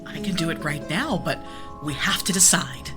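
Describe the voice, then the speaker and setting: A woman's voice: deep voice, animated, moderate pace, music in the background. A woman playing a thoughtful seer in a fantasy role-play audio drama. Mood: intent, deliberating. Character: thoughtful female seer Gertie in fantasy adventure.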